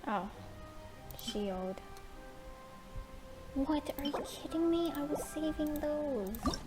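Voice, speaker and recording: A woman's voice: high voice, animated, takes it slowly. A woman giving casual gameplay commentary, reacting in short, offhand, chatty bursts. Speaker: streamer playing a video game. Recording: loud game music behind the voice.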